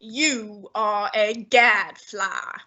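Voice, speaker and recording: A woman's voice: medium pitch, steady tone, extremely slow, pushed and bright, muffled recording. A woman playing a pompous, heavyset older male detective in an old-time radio drama, speaking with haughty, fussy, lofty diction. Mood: imperious.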